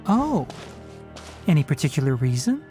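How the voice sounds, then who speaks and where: An actor playing a knowledgeable young forest ranger in a video game, matter-of-fact, articulate and slightly wry. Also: male voice, fairly high pitch, big pitch swings, moderate pace, loud music behind the voice. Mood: brisk. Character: composed, knowledgeable young male forest ranger, dry and brisk.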